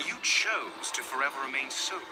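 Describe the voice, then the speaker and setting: A man's voice: mid-range voice, lively intonation, brisk, heavy background noise, close to an everyday voice. An actor playing a stern, fatalistic man in a game, speaking ominously and theatrically with scorn for fools. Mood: scornful. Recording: loud music behind the voice.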